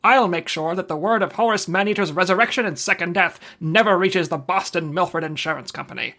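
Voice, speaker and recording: An actor playing a weary, wry and decisive hardboiled investigator in an old-time radio drama. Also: male voice, high voice, a little fast, sharp tone. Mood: grave, decisive. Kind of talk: old-time radio detective drama, first-person report narration.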